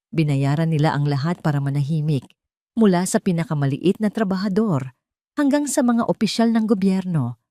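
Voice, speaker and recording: A woman voicing a grieving, guilt-ridden man in an audio drama, speaking gravely and haltingly with pain. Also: low-pitched, warm tone, no room sound, clean studio sound.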